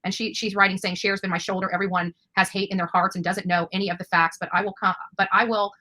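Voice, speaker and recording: A woman's voice: medium pitch, little pitch movement, fast talker, sharp tone, rough recording. A woman hosting an online interview in a chatty, emphatic and opinionated way. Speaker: interviewer and online show host. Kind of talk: chatty interview, reading out text messages.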